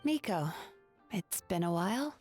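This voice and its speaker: A woman voicing a composed, courteous professional therapist in a video game, speaking in a measured, polite and dryly witty way. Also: medium pitch, expressive, darkish tone, natural voice. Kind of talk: English video game voice acting. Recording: music behind the voice.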